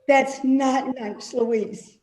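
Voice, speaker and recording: High female voice, slow delivery, elderly despairing mother acted by a woman, stage drama reading, roomy sound. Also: steady tone, darkish tone. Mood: hurt reproach. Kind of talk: play reading, dramatic dialogue. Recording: roomy, echoing voice.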